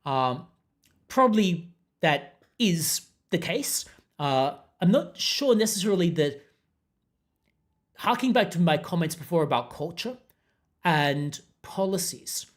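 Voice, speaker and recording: Unruffled man with a mixed accent. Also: a little high. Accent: mixed international accent. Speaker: podcast host. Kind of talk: casual commentary reading out viewer comments.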